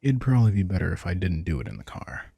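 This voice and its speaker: Man acting a deep-voiced, protective vampire king. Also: big pitch swings, quick pace, gravelly, slightly rough, no echo, heavily acted. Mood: caring, casual. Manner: soft-spoken, casual, reassuring, occasionally swearing. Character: protective male vampire king, wry and tender. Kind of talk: intimate audio roleplay, one-sided dialogue.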